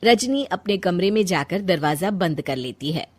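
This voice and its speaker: A woman narrating a scripted story for an animated moral tale. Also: fairly low pitch, normal speed.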